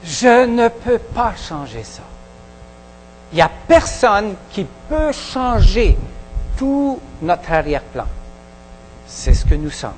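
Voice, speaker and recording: A pastor with a Québécois French accent preaching a sermon in a halting way, with many pauses and restarts. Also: male voice, sits high, sing-song, long reverb tail, a little hiss or hum, low-quality recording. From Quebec. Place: church hall.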